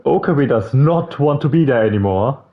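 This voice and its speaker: A man's voice: mid-range voice, lively intonation, moderate pace, muffled recording. A man doing a casual, informal game playthrough, reading text aloud with stumbles and some swearing and adding his own comments. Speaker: let's player reading a visual novel aloud. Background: game music.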